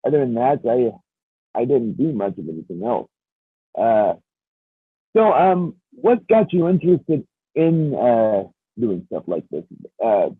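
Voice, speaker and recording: A man in his thirties co-hosting a podcast, speaking haltingly with many restarts. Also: medium pitch, husky, no echo. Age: 30s. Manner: hesitant, full of restarts and fillers. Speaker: podcast co-host. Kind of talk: casual chat. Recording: tinny phone-like line.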